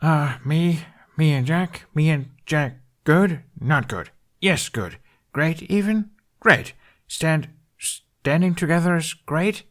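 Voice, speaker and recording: Man doing a hesitant, higher-voiced young man. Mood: halting, puzzled. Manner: hesitant, halting.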